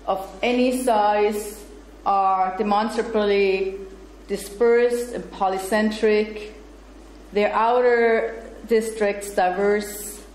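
A middle-aged woman with German as her first language speaks slowly and with care as she gives a lecture. She is picked up by a room mic in a bright lecture hall, so her voice comes back from the walls. A faint hiss sits under her words. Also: medium pitch. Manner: laughs easily and chats with the audience between read passages. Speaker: guest lecturer. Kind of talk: academic lecture, partly read from paper. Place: bright lecture room with projector screen, indoors. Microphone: far camera, room mic. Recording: echoey room with faint noise.

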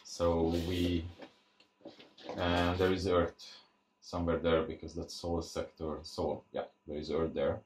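A man giving casual, unscripted unboxing commentary, thinking aloud in a halting way as he presents. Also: low voice, flat delivery, a little mellow, slight background noise. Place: indoors at a tabletop. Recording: roomy, echoey sound.

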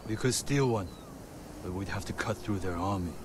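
An actor playing a blunt, wary leader of samurai fighters in a video game, with a commanding manner. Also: male voice, low-pitched, normal speed, background noise, lightly acted. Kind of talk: video game cutscene acting. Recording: game music underneath.

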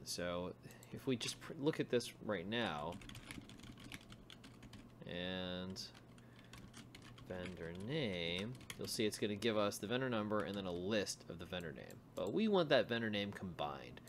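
Male tutorial instructor, lowish voice, informal and thinking aloud, with noticeable noise and keyboard typing behind him. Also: lively intonation, measured pace.

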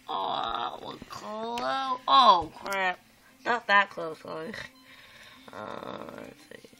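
A woman's voice: on the low side, vocal fry, sharp tone, a little hiss or hum, rough recording. A young girl who is sick, casually chatting as she plays a game at home, recorded on a phone filming the screen, rambling with lots of "um" and "like". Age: child or young teen. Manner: rambling, frequent "um" and "like", exclaims at the game. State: sick, voice a bit rough. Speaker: gameplay vlogger. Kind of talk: casual gameplay chat. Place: home room by a computer. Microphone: phone camera filming a screen.

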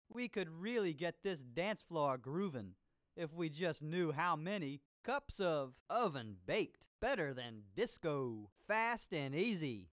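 Hammy, groovy disco cooking host. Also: male voice, fairly high pitch, dry recording, full character voice. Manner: hammy, drawn-out showman delivery. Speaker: game host. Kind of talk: exuberant 1970s disco slang show hosting. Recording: loud music under the voice.

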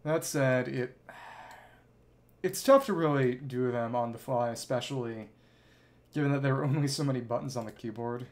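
A man's voice: mid-range voice, moderate pace, pauses often. A man giving casual livestream commentary on a game, thinking aloud in clipped fragments and reading bits of dialogue. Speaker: livestreamer, virtual avatar host.

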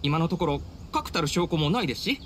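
A man's voice: fairly high pitch, lively intonation, fast talker, a bit bright, slight background noise. A man voicing a humble, dutiful young samurai officer in a Japanese anime game, speaking formally and deferentially. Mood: forced cheer. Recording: studio voice over game music. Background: game music.

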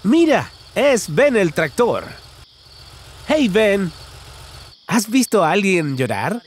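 A man's voice: high-pitched, very animated, slow pace, breathy edge. A man narrating a children's cartoon in an exaggerated, singsong way, talking to the characters.

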